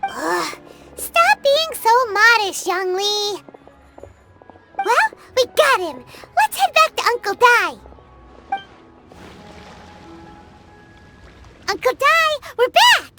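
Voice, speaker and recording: Tiny game companion creature, very high squeaky childlike voice, excitable, boastful, animated, loud background music. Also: female voice, slow pace, heavily acted. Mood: pouty, boastful. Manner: exclamatory, speaks of herself in third person. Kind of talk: video game voice acting.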